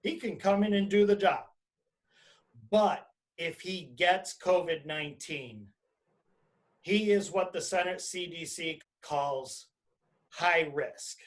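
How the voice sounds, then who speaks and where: A man giving an explanatory webinar presentation as an attorney, speaking deliberately and emphatically in short phrases over a video call. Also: high voice. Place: indoors, on a video conference call.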